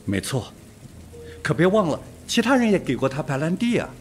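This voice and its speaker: A man's voice: a little high, sing-song, brisk, a little hiss or hum. A man acting an insistent, suspicious police detective in a Mandarin radio drama. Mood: agitated.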